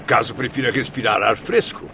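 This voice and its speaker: A man's voice: mid-range voice, animated, a little fast, vocal fry. A man acting a caustic, mock-polite rescued soul with a biting manner in a radio drama. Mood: taunting. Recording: distant mic, vehicle noise.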